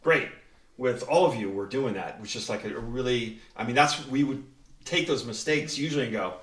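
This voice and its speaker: A man's voice: medium pitch, brisk, fairly bright tone, slight background noise. A man hosting a casual interview, talking conversationally and encouraging his guests. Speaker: interviewer, show host. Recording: echoey room, distant from mic.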